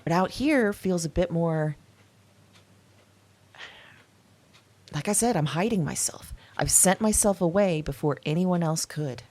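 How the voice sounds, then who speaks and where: A woman playing a bitter older outcast in hiding, speaking an intimate, halting first-person audio drama monologue in a husky voice. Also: fairly low pitch, warm tone, lightly acted. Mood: unsettled, reflective.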